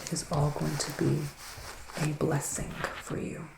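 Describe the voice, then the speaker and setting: Psychic male witch, played by a man for ASMR roleplay: soft, breathy, unhurried and musing, gravelly fry, gentle but gloomy, faint noise behind. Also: a little high, completely flat delivery. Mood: ominous, reassuring. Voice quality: soft, breathy, often slipping into whispers. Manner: hushed, unhurried, musing, with dramatic pauses. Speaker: ASMR performer, tarot reader. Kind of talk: ASMR roleplay tarot reading. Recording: roomy sound with faint background noise.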